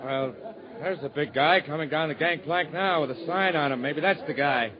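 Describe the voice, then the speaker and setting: An actor playing a brisk, polite dock guard in a 1940s radio drama. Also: male voice, on the high side, natural voice. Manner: brisk, official. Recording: muffled old radio recording with heavy hiss.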